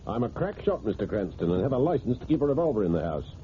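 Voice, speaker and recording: A man's voice: medium pitch, very animated, dark tone, natural voice. Man playing a composed wealthy broker, tinny vintage radio. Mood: grave, dramatic. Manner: formal, deliberate storytelling. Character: composed, precise, self-assured wealthy male broker. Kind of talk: old-time radio drama acting. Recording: tinny, noisy old radio recording.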